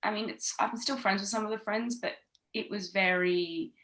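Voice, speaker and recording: A woman's voice: mid-range voice, fairly even intonation, normal speed, fairly bright tone. A woman in her thirties from Australia, a podcast guest chatting casually with lots of fillers and restarts. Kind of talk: casual chat. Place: home in London.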